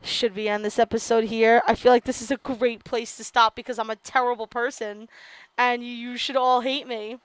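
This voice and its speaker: A woman's voice: fairly high pitch, little pitch movement, moderate pace, clean tone, no room sound, low-quality recording. Young woman streamer reading aloud, losing her voice. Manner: reads narration aloud, breaks off into chatty asides to viewers. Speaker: game streamer. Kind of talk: reading a visual novel aloud with live commentary.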